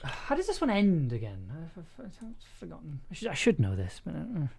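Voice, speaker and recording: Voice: medium pitch, huge pitch swings, moderate pace, gravelly, darkish tone, slightly rough. A streamer chatting casually while editing audio, thinking aloud in a self-deprecating way. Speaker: livestream host, audio drama producer. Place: indoors at a computer, streaming.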